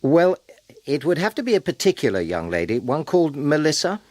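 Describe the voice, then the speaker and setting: A man's voice: a little high, big pitch swings, brisk, a crowd in the background. An actor playing an earnest, exasperated middle-aged British husband and father in a radio drama, hesitant yet stubborn. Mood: nervous, polite.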